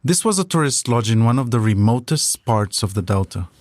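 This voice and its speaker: A man's voice: mid-range voice, expressive, a little fast, no echo. A man hosting a podcast, reading scripted documentary narration as a storyteller. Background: music.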